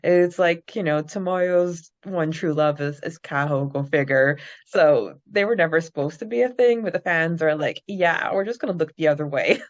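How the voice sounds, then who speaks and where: A woman's voice: low-pitched, moderate pace. A woman co-hosting a casual fan podcast, enthusiastic and giggly, on a dull lo-fi microphone. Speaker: podcast co-host.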